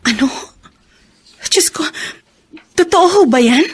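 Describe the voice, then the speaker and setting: High-voiced respectful daughter. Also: female voice, animated, slow. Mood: shocked. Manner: polite, deferential. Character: polite, respectful adult daughter. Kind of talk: radio drama acting.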